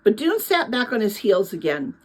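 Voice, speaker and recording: A woman's voice: medium pitch, sing-song, normal speed. A woman narrating a children's novel as a read-aloud. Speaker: audiobook reader.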